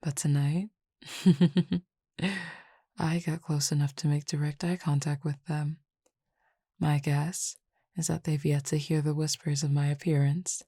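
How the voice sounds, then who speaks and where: A woman playing a calm, teasing Cupid roommate with formal, measured speech in an intimate roleplay audio drama. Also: low voice, creaky, husky, no echo, clean studio sound, heavily acted. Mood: quietly pleased.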